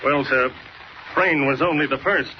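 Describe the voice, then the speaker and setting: A man's voice: on the high side, a little slow, close to an everyday voice. An actor playing a deferential, nervous male secretary who speaks hesitantly, in a 1940s radio drama style. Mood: anxious, hesitant. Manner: hesitant, servile. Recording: dull, noisy old radio recording.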